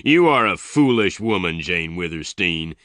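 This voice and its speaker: A man's voice: sits low, lively intonation, measured pace, a bit bright, no room sound, clean studio sound. A man voicing an angry, domineering gang leader who makes harsh threats.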